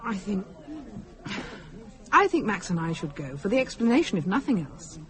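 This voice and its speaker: An actress with a British accent playing a candid, apologetic friend in a radio drama, hesitant in manner. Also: female voice, medium pitch, big pitch swings, moderate pace, soft background music, lightly acted, poor audio. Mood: uncertain. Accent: British English.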